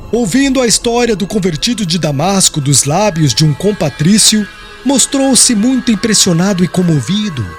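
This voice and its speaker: A man reading scripted audiobook narration as an expressive, solemn storyteller. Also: high-pitched. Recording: music behind the voice.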